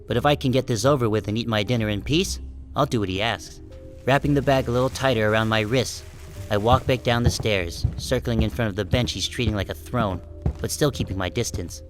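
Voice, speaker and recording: A guarded, resentful sheep, voiced by a man tells his own story in an audiobook drama. The voice is fairly low, with a wry and tense delivery over background music. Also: close to an everyday voice. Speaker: first-person narrator and protagonist. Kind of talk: scripted first-person audiobook narration.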